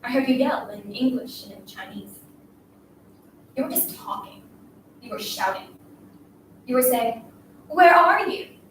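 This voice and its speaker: A woman plays a bullying schoolgirl in a dramatic story, scolding and demanding with desperate, scornful force. The voice is high. It sounds distant from the mic in a very echoey room. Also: unhurried, pauses often, background noise, natural voice. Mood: goading. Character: bullying schoolgirl, taunting, desperate, commanding a silent classmate. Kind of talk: dramatic literary reading.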